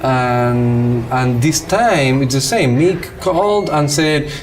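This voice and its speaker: A man with a Cuban accent, a native Spanish speaker, talks in a relaxed interview as a film director. His voice sits in a middle register, and he tells stories in an animated, conversational way. Faint music plays behind him. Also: medium pitch, slow pace. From Cuba. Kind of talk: casual interview chat.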